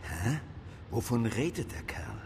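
Irascible, animated police chief. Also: male voice, mid-range voice, measured pace, in a large hall, slight background noise. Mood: indignant. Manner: blustering, sarcastic, commanding. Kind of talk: crime radio drama acting.

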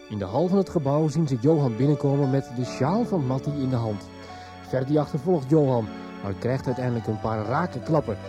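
A man reading scripted narration that recaps a radio soap. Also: medium pitch.

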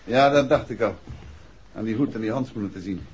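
An actor playing a composed, principled writer and amateur detective in a 1960s Dutch radio play, speaking politely and with assurance. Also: male voice, fairly low pitch, fairly quick, a little hiss or hum, lightly acted. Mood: brisk, mildly impatient. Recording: dull, muffled old radio recording.

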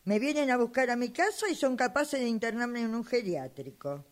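A woman's voice: on the high side, animated, moderate pace, lightly acted. A woman playing an exasperated, insistent elderly widow in an amateur radio drama, complaining in a flustered, dramatic way. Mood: weary, indignant. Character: exasperated elderly widow fed up with her phone.

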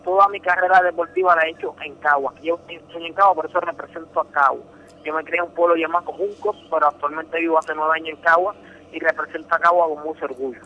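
A man's voice: on the high side, fairly even intonation, quick pace, muffled recording, a little hiss or hum. A young Puerto Rican man speaking Spanish with a Puerto Rican accent, a boxing champion answering a radio interview in an earnest, emphatic way, often repeating words. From Puerto Rico.

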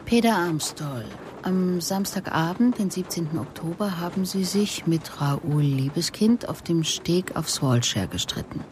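An actress playing a composed, relentless police investigator in a German radio drama, speaking in a measured, deliberate way. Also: female voice, on the low side, expressive, noisy background. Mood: probing. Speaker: interrogator and narrator. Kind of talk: German radio drama acting with introspective narration.

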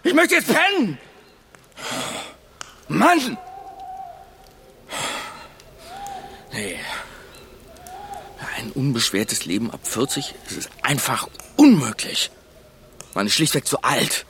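A dismissive, needling husband in midlife in a radio play. His pitch swings widely and his tone is sharp and sardonic. There is faint noise behind him. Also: huge pitch swings, takes it slowly, pauses often, heavily acted. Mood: exasperated. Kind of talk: naturalistic German radio drama acting.

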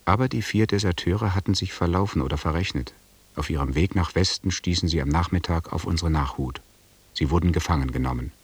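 Expedition chronicler, very low husky voice, sober radio drama narration, set back from the mic. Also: male voice, fairly quick, far from the mic, heavily acted. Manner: sober, matter-of-fact. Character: sober male expedition member recounting events. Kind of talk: scripted audio drama narration.

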